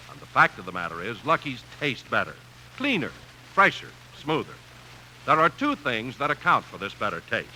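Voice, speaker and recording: An American male announcer with a very bright, forceful, highish voice. He sells with lively, emphatic swings in the style of 1950s radio, over faint recording noise. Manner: emphatic, polished sales delivery. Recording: faintly noisy old radio broadcast.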